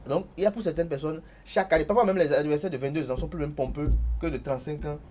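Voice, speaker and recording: Fast-talking man, insistent. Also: a little high, darkish tone, faint music behind. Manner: insistent, repeats words, cuts in. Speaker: panelist. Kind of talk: studio panel discussion. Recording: faint background noise.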